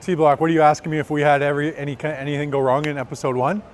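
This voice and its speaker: A man hosting a vlog, talking energetically to camera and going hoarse. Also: a little high, racing, faint music behind. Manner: energetic, motivational preaching. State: losing his voice. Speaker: vlog host. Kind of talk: casual vlog talk to camera.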